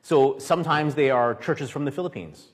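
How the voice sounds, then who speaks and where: A man from Canada preaching in a church hall, pausing phrase by phrase for an interpreter. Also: mid-range voice. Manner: halting, stops often for interpretation. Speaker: guest preacher. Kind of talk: sermon with live interpreter. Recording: echoey hall.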